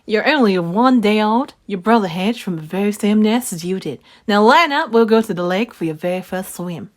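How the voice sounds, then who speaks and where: A woman voicing a caring but stern mother duck in a storybook reading, lively and a little scolding. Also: mid-range voice, moderate pace, natural voice. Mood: motherly.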